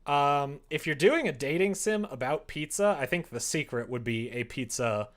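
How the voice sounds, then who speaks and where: A streamer chatting casually while working on a visual novel, drifting between conversation and quieter reading aloud. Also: medium pitch, expressive, moderate pace. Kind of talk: casual livestream chat while writing, murmuring draft lines.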